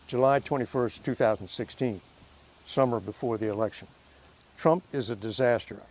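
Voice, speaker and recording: A man giving emphatic commentary, slowing down with pauses. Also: medium pitch, a little mellow.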